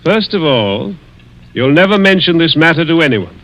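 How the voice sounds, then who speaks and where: An actor playing a suave, malicious older millionaire in a 1940s radio mystery, with silky, taunting, deliberate speech. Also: male voice, a little high, sing-song, fairly bright tone. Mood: measured, sly. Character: suave, malicious, wealthy older stepfather plotting murder. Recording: muffled vintage 1940s radio recording.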